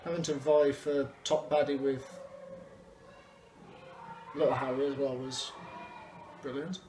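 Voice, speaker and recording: A man's voice: medium pitch, flat delivery, a little slow, darkish tone, a little hiss or hum. A young man chatting casually in an interview about performing. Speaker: amateur pantomime performer. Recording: echoey room with music behind.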